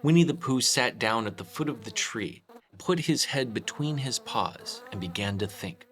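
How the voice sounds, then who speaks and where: A man reading a children's story aloud in an expressive scripted narration. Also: mid-range voice, normal speed. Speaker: storyteller, host.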